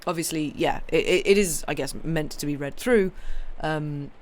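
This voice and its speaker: A young woman with a hoarse, croaky voice chats casually with her viewers after reading a story. She rambles in a friendly, apologetic way, full of ums and restarts. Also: deep voice, normal speed, darkish tone. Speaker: livestream story reader.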